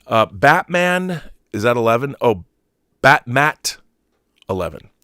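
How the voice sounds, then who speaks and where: A man in his fifties with a mid-pitched voice hosts a casual livestream. He talks in an opinionated, emphatic manner, doubling back on phrases to drive them home. The sound is dry, with little room around the voice. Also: big pitch swings, takes it slowly, sharp tone, no room sound. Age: 50s. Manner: opinionated, emphatic, profane, repeats himself for emphasis. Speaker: livestream host. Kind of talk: casual livestream commentary, reading viewer messages.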